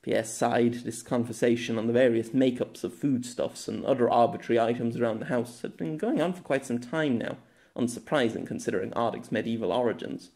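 A man's voice: mid-range voice, fairly quick, darkish tone. A man narrating a scripted novella as an audiobook. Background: music.

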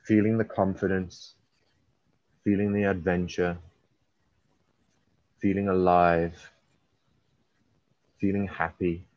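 A man leading a guided hypnosis session as a hypnotherapist, using repetitive, drawn-out and emphatic suggestive phrasing. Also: sits low, little pitch movement, a little mellow. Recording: roomy, slightly dull recording.

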